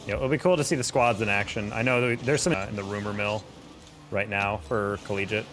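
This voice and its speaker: A man's voice: on the low side, fairly even intonation, a little fast, fairly bright tone, faint music behind. A man chatting casually with his viewers while playing a game on a live stream, exasperated and laughing often. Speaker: streamer and caster.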